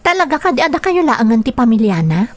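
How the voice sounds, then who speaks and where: A woman's voice: high-pitched, big pitch swings, fast talker. An actress playing a nephew's young girlfriend in a radio drama, courteous and accommodating. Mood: polite, welcoming.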